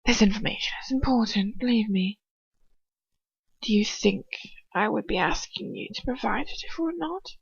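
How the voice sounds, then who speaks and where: A woman voicing a cruel, calm male interrogator in a hushed, flat whisper, on a dull lo-fi recording. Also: a little high, moderate pace, gravelly, slightly rough, no room sound, heavily acted. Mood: menacing whisper.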